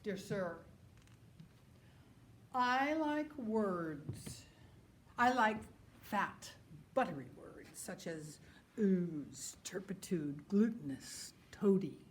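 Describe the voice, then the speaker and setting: A woman's voice: mid-range voice, animated, extremely slow, pauses often, slight background noise. A woman performing a scripted letter reading in a theatrical style, expressively savouring individual words.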